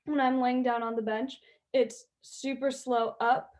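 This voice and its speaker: A teenage girl who plays high school softball, chatting eagerly in an interview with lots of fillers. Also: level tone, a little slow. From Southern California. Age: teens. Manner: bubbly, chatty, many "like" and "um" fillers. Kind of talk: interview answers.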